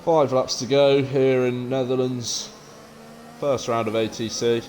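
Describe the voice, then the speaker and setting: A man's voice: mid-range voice, steady tone, unhurried, a little mellow, a little hiss or hum. A man casually commentating a sim racing stream in a laid-back, matter-of-fact way, reading out viewer chat between updates. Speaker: esports race commentator.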